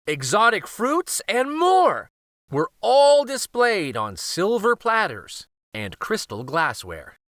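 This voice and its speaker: A man narrating a children's storybook aloud, expressive and careful, with dramatic pauses. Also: high-pitched, no echo, clean studio sound.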